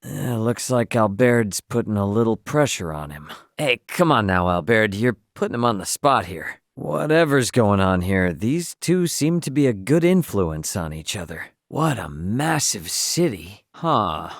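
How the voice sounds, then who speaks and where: A man's voice: lowish voice, sing-song, unhurried, few pauses, no room sound, studio quality. A man voicing a brash, good-natured young starship captain in a video game, with a breezy, slangy, informal delivery. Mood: impressed, casual. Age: young adult. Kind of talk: English game dub dialogue, casual and expressive.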